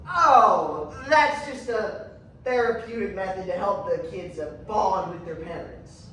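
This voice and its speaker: An actress plays an evasive female official, speaking slowly in a placating, hesitant way. The stage performance is heard from a distance in a very echoey space. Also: mid-range voice, a bit bright, natural voice. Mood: defensive. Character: defensive, evasive official excusing harsh child therapy. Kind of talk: competitive stage readers theatre. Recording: echoey room, distant mic, faint noise.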